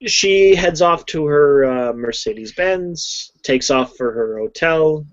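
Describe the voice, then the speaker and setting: Lady gm narrating, halting casual, dull low-fi sound. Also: animated. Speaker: game master. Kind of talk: narrating a tabletop game. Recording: dull lo-fi home mic.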